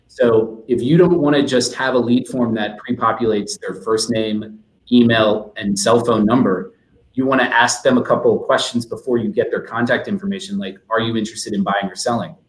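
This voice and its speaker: A man with a mid-pitched voice gives an explanatory talk as a webinar guest. His manner is businesslike. The recording has a noticeable roomy echo. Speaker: guest speaker. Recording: roomy, echoey sound.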